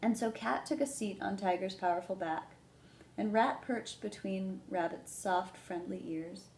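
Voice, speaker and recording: A woman reads a picture book aloud as a storytime host for young children. Her tone is mellow and her pitch sits in the middle, with gentle, simple phrasing. The room adds noticeable echo, with faint noise behind her. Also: measured pace, gravelly. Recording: roomy echo, background noise.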